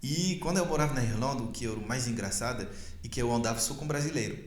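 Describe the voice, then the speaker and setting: A man from France, a native French speaker, telling his story as a podcast guest in eager, quick conversation. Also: mid-range voice, roomy sound, a little hiss or hum. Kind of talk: casual interview conversation.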